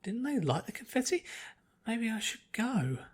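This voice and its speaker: A man's voice: sits high, big pitch swings, measured pace, breathy edge, pitched above the performer's own voice, exaggerated voice. A man voicing a bubbly, naive young woman from a fan-fiction cartoon story, eager and bouncy. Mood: puzzled. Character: bubbly young female pony-turned-human, cheerful and naive.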